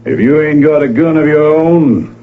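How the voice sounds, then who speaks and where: An actor playing a belligerent Wild West cowboy itching for a gunfight, taunting and blunt, with a Western American drawl. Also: male voice, a little high, lively intonation, normal speed, close to an everyday voice. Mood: menacing challenge. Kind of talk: 1970s radio western acting. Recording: tinny old radio broadcast, faint music.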